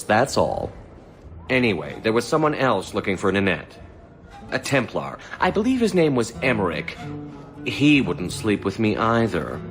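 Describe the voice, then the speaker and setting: Cocky, lively young elf courtesan. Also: male voice, fairly low pitch. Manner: sly, teasing, sardonic. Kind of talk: dramatic video game dialogue.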